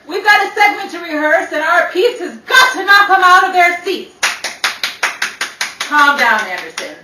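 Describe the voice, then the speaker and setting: Distant, roomy, noisy radio-play recording of a woman acting a high-voiced Broadway leading lady from the 1930s. She is brassy, bossy and theatrical, swooping through exasperated wisecracks as she runs rehearsals. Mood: bossy, brisk.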